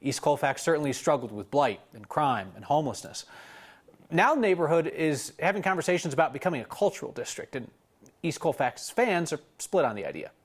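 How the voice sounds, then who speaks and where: A man's voice: on the high side, sing-song, brisk, husky. A man presenting an evening newscast, conversational and wry, with personal opinions mixed into the scripted copy. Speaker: news anchor.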